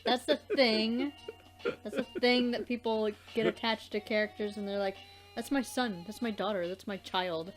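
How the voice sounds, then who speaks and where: A woman's voice: on the high side, steady tone, moderate pace, soft background music. A woman co-hosting a let's play, commenting casually and reacting with blunt disbelief.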